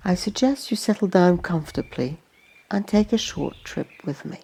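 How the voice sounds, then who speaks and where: A woman guiding a meditation, speaking gently in short, spaced-out instructions. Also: on the low side, expressive, gravelly, husky. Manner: gentle, unhurried instructions in short phrases with long pauses. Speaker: meditation guide. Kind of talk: slow guided meditation narration. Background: music and nature sounds.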